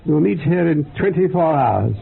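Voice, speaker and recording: Creaky, solemn occult leader man. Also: a little high, lively intonation, moderate pace, full character voice. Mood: commanding, incantatory. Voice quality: very creaky, husky. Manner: formal, portentous, incantatory. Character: solemn male leader of an occult research circle. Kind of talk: radio drama speech. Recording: dull, muffled radio drama recording.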